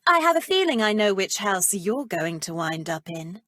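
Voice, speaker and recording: A woman's voice: mid-range voice, very animated, normal speed, a bit bright, dry recording, studio quality. An actress voicing a flirtatious, menacing witch professor in a video game, with an arch, theatrical, haughty delivery. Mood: amused, haughty. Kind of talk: video game character voice acting.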